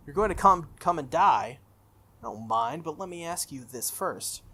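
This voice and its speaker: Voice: medium pitch, expressive, moderate pace, sharp tone, breathy edge. A playthrough host voicing a taunting male lance-wielding warrior from a visual novel, challenging and goading. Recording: background game music.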